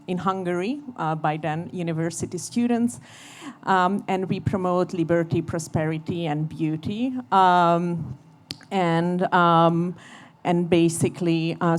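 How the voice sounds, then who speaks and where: A woman speaking as a panelist and society director, halting in a panel discussion. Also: sits low. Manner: halting, many fillers and restarts.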